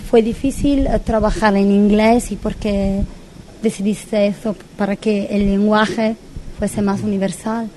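A woman's voice: medium pitch, steady tone, unhurried, mellow. Woman interviewer, brief direct questions, noisy room. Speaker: radio interviewer. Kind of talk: festival press interview.

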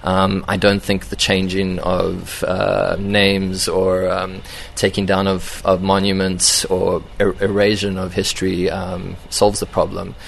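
A man's voice: low voice, completely flat delivery, takes it slowly, gravelly, few pauses, a little hiss or hum. A man, a film director, answering interview questions in a thoughtful, hesitant way with many ums and restarts. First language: English. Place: event venue.

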